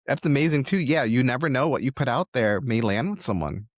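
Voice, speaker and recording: A man's voice: mid-range voice, expressive, a little fast, no room sound, clean studio sound. A man hosting a conversational podcast interview, speaking in an encouraging, supportive manner. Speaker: podcast host interviewing a guest.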